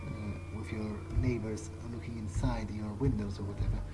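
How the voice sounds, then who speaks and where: A man's voice: fairly low pitch, steady tone, moderate pace. A man giving casual, in-character commentary as he plays a game, musing in a wry, sweary way. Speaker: let's player. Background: game music.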